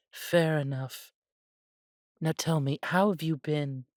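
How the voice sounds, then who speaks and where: An unhurried man playing an elf brother from a fantasy audio drama, recorded dry and close. He is warm and humble, devoted to his family, and phrases things a little formally with thoughtful pauses. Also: fairly high pitch, dry recording. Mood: fond, teasing. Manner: measured, slightly formal phrasing with sighs and pauses. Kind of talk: scripted fantasy audio roleplay.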